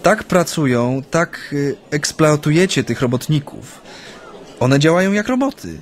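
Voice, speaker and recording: An actor in a radio play playing a former union activist who recounts his memories in a hesitant, halting way. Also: fairly high pitch, expressive. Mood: reflective, wry. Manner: hesitant, halting, frequent drawn-out hums. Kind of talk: radio play acting, interview-like recollection.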